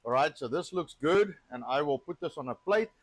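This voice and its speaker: A man's voice: a little high, animated, a little fast. A South African man hosting a cooking stream, chatting casually and joking with filler words while he cooks. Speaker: cooking show host.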